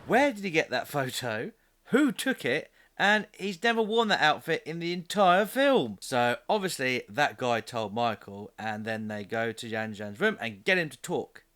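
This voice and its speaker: A man's voice: mid-range voice, big pitch swings, normal speed, a bit bright. A man hosting a scripted comedic film review, narrating in a sarcastic, exasperated manner with loose ad-libs.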